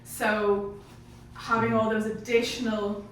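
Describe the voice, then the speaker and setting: A woman's voice: medium pitch, flat delivery, slow. A woman in a casual discussion, explaining her points to the group. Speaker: panel member. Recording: echoey room, distant mic, faint noise.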